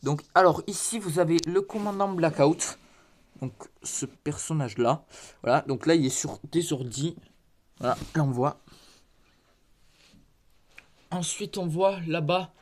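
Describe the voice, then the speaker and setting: Stuffy-voiced man giving a halting show-and-tell. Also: a little high. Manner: halting, filler-heavy, points things out one by one. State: stuffy from a head cold. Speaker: hobbyist presenting his toy collection. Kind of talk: casual show-and-tell narration. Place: converted attic room with creaky floor. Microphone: phone camera held in hand.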